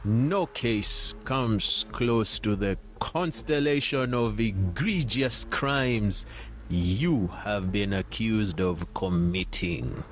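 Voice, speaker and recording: A man's voice: mid-range voice, a bit bright. A man playing a stern, disgusted older judge in an audio drama, speaking in a formal, grave and scathing manner.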